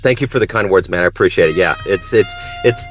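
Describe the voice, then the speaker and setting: A man's voice: deep voice, expressive, fast, faint music behind. A man hosting an interview show in a casual, conversational way.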